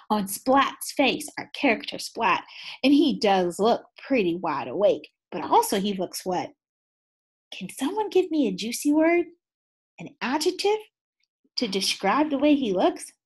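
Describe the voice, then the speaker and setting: A woman teaching second graders who reads a picture book aloud and stops often to ask the class questions. Her voice is slightly husky, and she sounds bright and very expressive, with a pitch that swoops high and low. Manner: animated, asks the class many questions. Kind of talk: read-aloud lesson for children.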